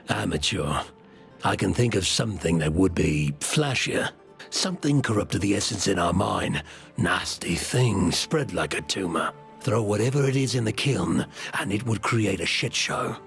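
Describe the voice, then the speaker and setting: An actor playing a gruff, scornful mine worker and wary conspirator in a video game, dry and dismissive. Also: male voice, low voice, animated, a little slow, a bit bright, faint music behind, heavily acted. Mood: scornful, scheming. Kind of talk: video game cutscene acting.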